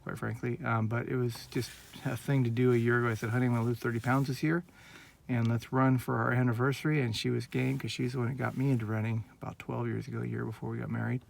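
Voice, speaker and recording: Gravelly earnest man in his forties. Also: mid-range voice, flat delivery, fast talker, slight background noise. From Northern California. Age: 40s. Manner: earnest, rambling, heartfelt. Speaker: vlogger. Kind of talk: casual personal vlog.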